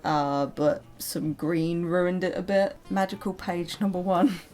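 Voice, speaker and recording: A woman's voice: fairly low pitch, level tone, measured pace, darkish tone, faint music behind. A young British woman reading her own childhood story aloud with casual, playful, self-mocking commentary. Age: young adult. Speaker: vlogger.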